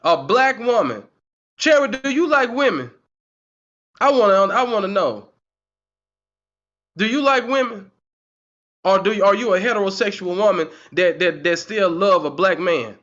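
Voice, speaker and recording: A man in his forties hosts a heated commentary stream, his voice sitting high for a man. He repeats himself and keeps asking the chat rhetorical questions. The sound is dull and lo-fi. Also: animated, measured pace, long pauses, a bit bright. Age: 40s. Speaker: channel host. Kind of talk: livestream commentary, reading the chat.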